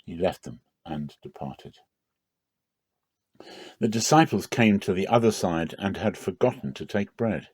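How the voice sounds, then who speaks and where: Low-voiced man reading scripture dramatically. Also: lively intonation, unhurried, pauses often, a little mellow, husky, dry recording. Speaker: Bible reader.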